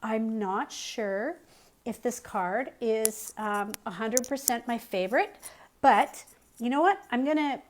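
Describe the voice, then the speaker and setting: A woman's voice: fairly high pitch, takes it slowly, a little hiss or hum. A middle-aged woman hosting a casual live crafting tutorial, chatty and friendly, with frequent ums, her voice a little strained. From Canada. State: slightly losing her voice.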